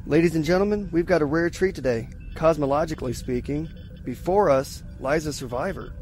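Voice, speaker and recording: An eager science lieutenant in a sci-fi audio drama, played by a man. His voice sits a little high for a male, and he explains things with scholarly relish. Light music plays behind him. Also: fairly quick, a little mellow, natural voice. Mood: intrigued, relishing.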